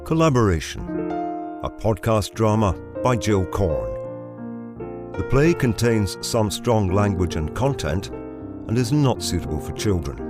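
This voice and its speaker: Casting: man announcer, somewhat low, expressive, scripted drama introduction over clear music. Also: a little slow. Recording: music behind voice.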